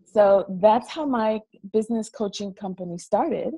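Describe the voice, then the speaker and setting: A woman from the Dominican Republic talks over a video call from a small dorm room. Her voice is gravelly and mellow, and the sound is dry. She tells her stories in an animated way and repeats words as she goes. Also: mid-range voice, unhurried, no echo. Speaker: guest, business coach. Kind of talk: casual interview storytelling.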